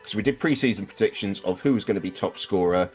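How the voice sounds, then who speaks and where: A man's voice: on the low side, quick pace, darkish tone. A man hosting a sports podcast, talking casually and wryly as he explains season results, with some swearing. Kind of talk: casual football podcast review.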